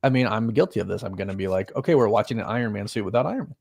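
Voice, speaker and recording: A man's voice: on the low side, animated, very fast, creaky, crowd noise. A man in his thirties chatting casually as he hosts a watch party. He talks in a loose, running way, often laughing and making fun of himself. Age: 30s. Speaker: host.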